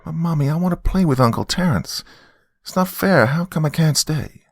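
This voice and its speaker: A man voicing an excitable, curious little girl with a pouty, pleading manner, in a dramatic audio story. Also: fairly high pitch, moderate pace, creaky, dry recording, pitched above the performer's own voice.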